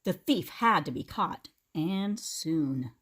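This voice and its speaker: A woman reads a children's picture book aloud with dramatic expression. Her voice is husky and swings widely in pitch, and she slips into explanatory asides for young listeners. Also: expressive, takes it slowly, a bit bright. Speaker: teacher reading aloud to children.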